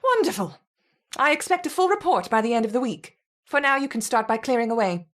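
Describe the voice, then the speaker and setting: Female actor as stern research boss, video game, slightly high pitch, curt, commanding. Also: lively intonation, fairly quick, lightly acted. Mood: brisk, commanding. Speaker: actor voicing a game character. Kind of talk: scripted video game dialogue.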